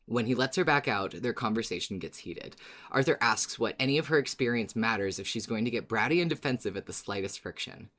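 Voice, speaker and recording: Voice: medium pitch, animated, brisk, fairly bright tone. A podcast co-host who talks about a story in an emphatic, dramatic way, as a fan of it. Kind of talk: scripted recap, then animated fan discussion.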